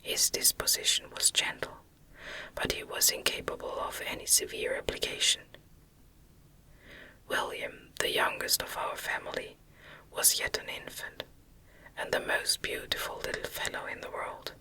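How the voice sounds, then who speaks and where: Female ASMR reader, constant whisper, very sharp sibilant brightness, hushed measured narration, classic literature, close-miked. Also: low-quality recording. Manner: hushed, measured, unvoiced delivery. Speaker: audiobook reader. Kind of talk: ASMR whispered reading of a Gothic novel.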